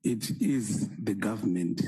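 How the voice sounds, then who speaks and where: A man's voice: on the low side, unhurried, gravelly, mellow, breathy edge. A man from Zimbabwe, a college principal answering questions at a formal hearing in short, guarded, hesitant replies. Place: parliamentary committee room.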